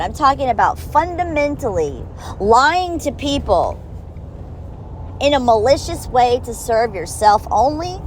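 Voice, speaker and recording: A woman with a high-pitched voice gives a blunt, profane monologue straight to the listener, slowing down to stress key words. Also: a little hiss or hum. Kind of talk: direct-to-camera monologue.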